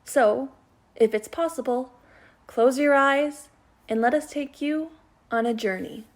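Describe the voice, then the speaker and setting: A woman giving a scripted, measured introduction as presenter of amateur radio plays. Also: sits high. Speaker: presenter introducing a youth radio play performance. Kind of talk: scripted narration.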